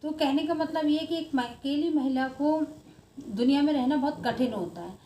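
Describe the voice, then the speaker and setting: A woman's voice: sits high, moderate pace, dark tone. A woman giving informal vlog commentary in an earnest, emphatic way that often circles back on itself. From India. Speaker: video blogger. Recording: echoey room with faint background noise.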